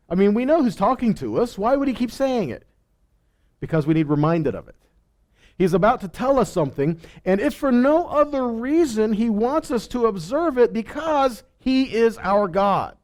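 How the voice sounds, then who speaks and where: A man's voice: sits high, darkish tone. A man teaching scripture in a sermon, emphatically asking rhetorical questions, repeating phrases and answering himself.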